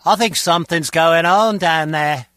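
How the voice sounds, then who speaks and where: A man's voice: fairly high pitch, unhurried, a bit bright, smooth, no room sound, studio quality. A man playing a grumbling, wisecracking henchman guard in a spy video game, talking in a sardonic, conversational way. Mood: irritated, sardonic. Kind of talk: video game dialogue acting.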